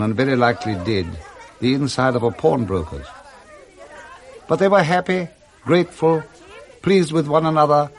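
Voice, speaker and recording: A man with a British accent narrating a 1960s radio drama in a measured, expressive storytelling way. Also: mid-range voice. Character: male storyteller narrating a Victorian Christmas tale.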